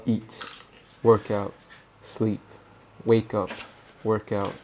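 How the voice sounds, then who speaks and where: Young guy from hong kong, cantonese is his first language, low flat voice, slow thoughtful voice-over. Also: crowd noise. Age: young adult. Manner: calm, reflective, measured. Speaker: student filmmaker. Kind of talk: scripted personal voice-over narration.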